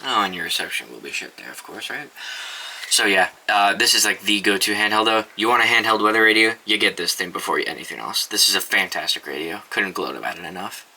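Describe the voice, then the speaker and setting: A young man talks through his radio collection in an offhand, loose way, swearing casually and stumbling through restarts. His voice is low-set yet very bright and forceful, with a faint hiss in the background. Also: quick pace, rough recording. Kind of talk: casual show-and-tell review.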